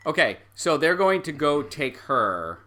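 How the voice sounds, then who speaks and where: A man's voice: a little high, sing-song, measured pace, pushed and bright. A man working as game master, improvising narration for a tabletop game and slipping into the characters' lines. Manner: acts out characters' lines in quotes while narrating.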